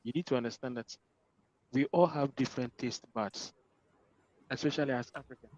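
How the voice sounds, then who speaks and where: A man from Ghana speaking at a middle pitch in a casual online debate. He explains his view calmly and checks that others follow. His audio is dry and slightly muffled. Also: brisk, dry recording. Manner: calm, explanatory, checks the listener understands.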